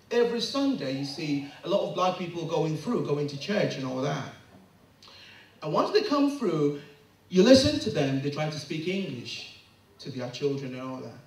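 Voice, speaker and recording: A man speaking earnestly about raising children, with rising and falling emphasis. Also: high voice. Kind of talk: impassioned monologue. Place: London. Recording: very echoey room, voice far from the mic.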